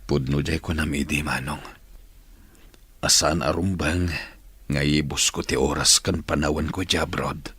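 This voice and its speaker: A man with a Filipino accent playing an ailing, regretful older father in a radio drama, with a husky, rough voice. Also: rumbling low, fairly even intonation, measured pace, pauses often, a bit bright, dry recording, exaggerated voice.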